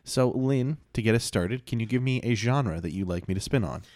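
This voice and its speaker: A man's voice: lowish voice, brisk, darkish tone, no echo, studio quality. A man hosting a podcast in casual chat, laid-back and rambling, with lots of fillers. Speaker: podcast host.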